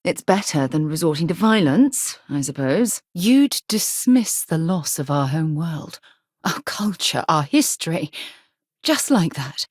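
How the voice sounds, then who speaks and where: A woman's voice: sits low, big pitch swings, unhurried, darkish tone, no room sound, studio quality, full character voice. A woman playing a thoughtful, principled space explorer in a video game, speaking in an articulate, earnest and composed way. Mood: stern. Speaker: video game companion character.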